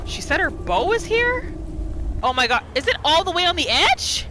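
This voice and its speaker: A woman's voice: very high-pitched, sing-song, moderate pace, sharp tone, slight background noise. A woman narrating a roleplay game playthrough, reacting with exclamations and talking to the game's characters. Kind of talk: reactive let's-play commentary.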